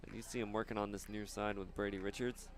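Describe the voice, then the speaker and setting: A man doing live soccer commentary outdoors, chatting casually and haltingly between plays. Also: on the low side, flat delivery, slight background noise. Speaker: sports play-by-play announcer.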